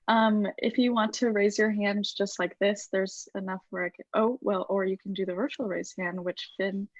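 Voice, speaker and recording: A woman's voice: medium pitch, steady tone, normal speed, dry recording, highs cut off. A woman casually moderating a Q&A over a video call. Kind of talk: casual event hosting.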